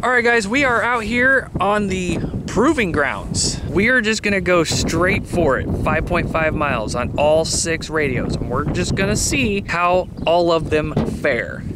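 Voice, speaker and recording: A man in his thirties with an American accent talks casually as a vlog host. His voice sits a little high. There is clear ambient noise behind him. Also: lively intonation, slow. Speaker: vlog host reviewing radios.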